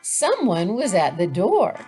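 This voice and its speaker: A woman's voice: medium pitch, huge pitch swings, takes it slowly, mellow, faint music behind. A woman reading a children's picture book aloud as a storyteller.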